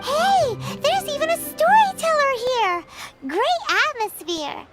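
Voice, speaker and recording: Tiny childlike fairy girl, extremely high, animated and blunt, for a video game. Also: slow, over loud music, full character voice. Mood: excited. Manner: exclamatory, cute, blunt. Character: tiny squeaky floating fairy companion, childlike, excitable female.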